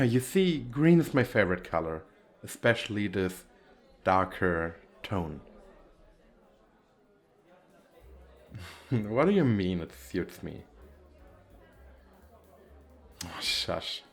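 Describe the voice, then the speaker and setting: A man's voice: lowish voice, very animated, slow, exaggerated voice. A man playing a nervous, bashful, well-off young businessman on a date in an ASMR roleplay, with hesitant, stammering speech. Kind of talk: ASMR roleplay, one-sided conversation with the listener.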